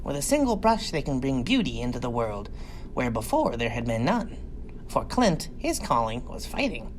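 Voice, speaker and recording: A man's voice: medium pitch, sing-song, moderate pace, a little hiss or hum, rough recording. A man reading a science fiction adventure story as dramatic scripted narration. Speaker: audiobook reader.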